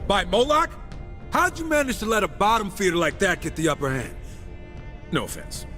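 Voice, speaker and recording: A man's voice: high-pitched, wildly swooping pitch, moderate pace, sharp tone, faint music behind, full character voice. An actor playing a cocky, wisecracking supernatural horseman in a video game, sarcastic and casual. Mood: mocking. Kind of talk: video game cutscene acting.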